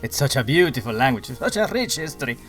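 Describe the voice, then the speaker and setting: A man's voice: medium pitch, big pitch swings, quick pace, fairly bright tone. A male cruise-ship activities director acted by a man, in a comic audio play. He is long-winded and officious, rattling through details at speed over faint music. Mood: pedantic, proud. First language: Spanish. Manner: rambling, rattles off long lists. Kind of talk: comic audio drama acting. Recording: music playing behind the voice.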